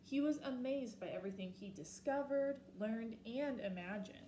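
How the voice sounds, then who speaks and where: A woman reading a children's picture book aloud expressively, slowing down for emphasis and pausing to ask her listeners questions. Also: on the high side, mellow, echoey room, slight background noise, low-quality recording. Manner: asks listeners reflective questions. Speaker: storybook reader.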